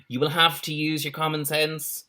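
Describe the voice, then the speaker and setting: A man voicing a sensible, bossy schoolboy club leader in a children's story, brisk and questioning. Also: a little high, flat delivery, pushed and bright, voice pushed up.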